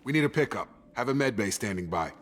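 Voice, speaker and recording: Low-voiced, steady soldier. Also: male voice, fast talker. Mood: calm, firm. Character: steady, reassuring male soldier operative. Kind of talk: cinematic sci-fi game dialogue.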